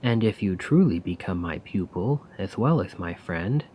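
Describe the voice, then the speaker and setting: A soft-spoken man playing a melancholy, self-doubting poet in an ASMR roleplay, musing wistfully in formal, poetic words with a breathy voice. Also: deep voice, expressive, normal speed, slight background noise. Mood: gently hopeful.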